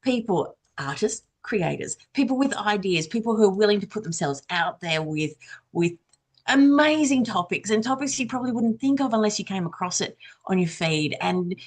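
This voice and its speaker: A woman podcaster chatting casually on a panel, enthusiastic and quick to giggle as she talks. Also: medium pitch, lively intonation. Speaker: audio drama podcaster, former radio worker.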